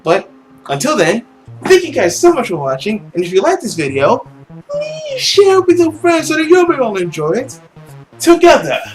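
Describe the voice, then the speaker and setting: A man hosting a gaming video, moving between dramatic story reading and casual commentary in an expressive, theatrical way. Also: extremely high pitch, moderate pace, faint music behind, poor audio.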